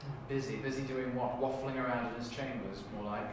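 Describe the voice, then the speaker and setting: A man's voice: medium pitch, fairly even intonation, a little fast, natural voice. A man improvising as a sneering, sceptical Time Lord councillor with a dry, sarcastic manner. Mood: sardonic. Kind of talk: improvised audition acting. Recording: distant, very echoey room, clear noise.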